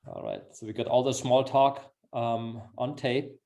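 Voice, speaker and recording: A man's voice: medium pitch, wildly swooping pitch, unhurried, creaky, warm tone. A middle-aged man from Austria with an Austrian accent, a native German speaker, hosting an upbeat, chatty webinar over a video call in an effusive, encouraging manner. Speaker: webinar host, sales executive.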